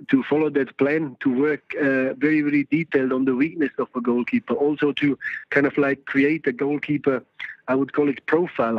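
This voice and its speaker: Man, halting speech with fillers, dull lo-fi phone line, dry. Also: medium pitch, dry recording. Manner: hesitant, many fillers and restarts. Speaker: phone-in guest, goalkeeping coach educator. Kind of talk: casual phone interview.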